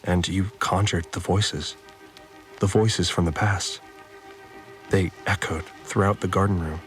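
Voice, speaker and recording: A low-voiced farmer confides in an audio diary for a science fiction drama. He is troubled, devout and obedient, halting and repeating words as he confesses. Music plays clearly beneath him. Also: steady tone, rough recording. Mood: awed, halting.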